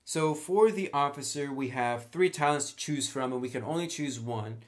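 A man's voice: medium pitch, normal speed, soft background music. A man hosting a tabletop game tutorial, explaining casually and thinking aloud as he goes. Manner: thinks aloud while writing on a sheet. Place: indoors at a table.

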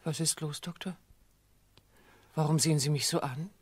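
An anxious, defensive daughter in a radio play. Her voice is slightly husky and pleading, in a dry, close studio sound. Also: deep voice, moderate pace, dry recording. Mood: anxious, wary. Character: devoted daughter under suspicion, anxious and defensive. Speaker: doctor's suspected patient's daughter. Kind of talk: radio drama acting.

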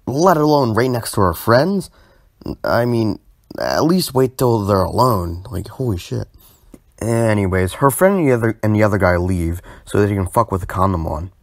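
A man's voice: fairly low pitch, lively intonation, moderate pace, no room sound, studio quality. A young man narrating a storytime video informally, with a sardonic manner and bursts of exaggerated emphasis.

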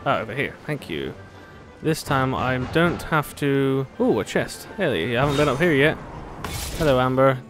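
Casting: male gaming host, mid-pitched, casual and playfully sarcastic, music underneath. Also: animated, moderate pace. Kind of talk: casual gameplay commentary. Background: game music.

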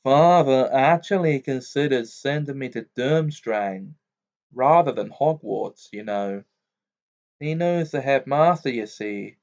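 A man's voice: medium pitch, animated, slow, darkish tone, lo-fi sound. A man voicing a snobbish, sneering rich schoolboy in a storybook, with a pompous drawl. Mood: haughty, boastful.

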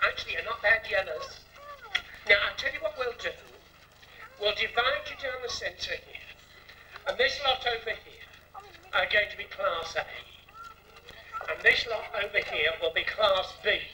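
A man's voice: high-pitched, lively intonation, moderate pace, pauses often, bright, forceful tone, slight background noise, exaggerated voice. A man playing a brash, saucy older woman, a pantomime dame, with cheeky, declamatory patter that bosses and coaxes the audience. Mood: bossy, rousing. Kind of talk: theatrical music-hall pantomime patter to an audience. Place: theatre stage with painted scenery. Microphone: stand microphone, picked up from the auditorium. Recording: echoey theatre, distant old videotape sound.

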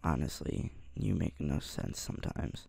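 Tsundere high school boy, male actor, ASMR roleplay: irritable and halting, lively intonation, close dry mic. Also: no room sound, exaggerated voice. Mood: frustrated, vulnerable. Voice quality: low, gravelly. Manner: halting, grumbling. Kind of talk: ASMR roleplay, one-sided dialogue to listener.

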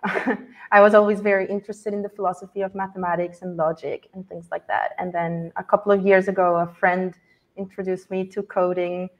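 A woman's voice: on the low side, flat delivery, brisk. A woman in her thirties from Colombia, a developer answering interview questions in a friendly way with small laughs and some hesitation, on a video call with a headset. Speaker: guest developer. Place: home room, video call.

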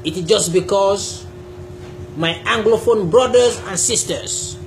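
Slow, emphatic man. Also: sits high, slight background noise, low-quality recording. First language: Bassa. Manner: deliberate, emphatic, gestures a lot. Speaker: YouTube creator. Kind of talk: direct-to-camera talk on spirituality. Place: small indoor room, seated on a sofa.

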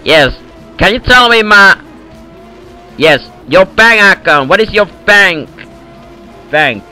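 Man, high voice, Eastern European accent, slow boastful broken-English trolling on a headset chat. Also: expressive, sharp tone, soft background music. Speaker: voice chat player. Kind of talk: prank call banter in game voice chat.

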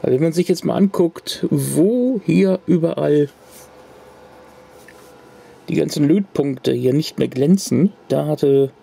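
A man's voice: on the high side, animated, slow, vocal fry, long pauses, warm tone, slightly rough. A man casually showing a corroded circuit card to the camera at his home workbench, rambling with restarts and fillers. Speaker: retro computer hobbyist. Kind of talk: casual show-and-tell vlog.

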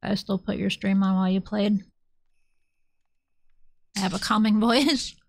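A woman's voice: mid-range voice, steady tone, unhurried, no echo. A woman streamer chatting casually with viewers while she plays, rambling and self-deprecating. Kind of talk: casual chat while gaming.

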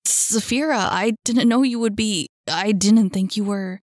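A woman's voice: medium pitch, unhurried, a little mellow, no echo, studio quality, close to an everyday voice. A woman reading a storybook and voicing a nervous, self-doubting young man who speaks hesitantly. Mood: flustered.

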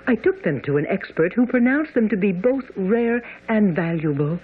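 A young Victorian gentlewoman in a radio play, earnest and exact. Her breathy voice moves with lively intonation. The broadcast is thin and phone-like. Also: mid-range voice, moderate pace. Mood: earnest, troubled. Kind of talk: radio drama acting.

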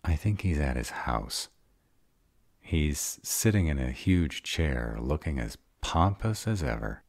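Very deep, sarcastic vampire bad boy played by a man. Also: sing-song, slow pace, creaky, darkish tone, no room sound, heavily acted. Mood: earnest, worried. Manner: sarcastic, drawling. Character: sarcastic bad-boy male vampire, guarded but devoted. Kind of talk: audio roleplay acting.

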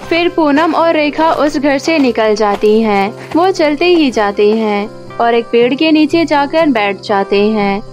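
A woman tells a story in scripted narration. Her voice is high, and music runs behind her as she reads. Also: animated, unhurried, hardly any pauses, a little mellow. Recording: music under the voice.

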